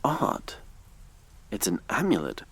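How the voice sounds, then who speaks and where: An actor playing a sardonic, jumpy grave robber in an audio drama, swinging from muttered asides to startled exclamations. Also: male voice, lowish voice, wildly swooping pitch, takes it slowly, fairly bright tone, husky, no echo, heavily acted. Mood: curious, wry.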